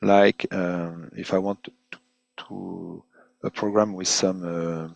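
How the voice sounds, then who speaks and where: A man presenting an unscripted webinar software demonstration in halting, hesitant phrases, often repeating words as he goes. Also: sits low, little pitch movement, creaky, dry recording, muffled recording. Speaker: product presenter giving a software demo.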